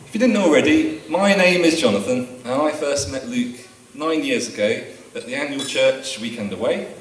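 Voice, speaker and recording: A man's voice: mid-range voice, normal speed, slight background noise. A man giving a best man's speech at a wedding, with dry, teasing humour read from his prepared notes. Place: wedding reception room. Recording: echoey room taped from far off.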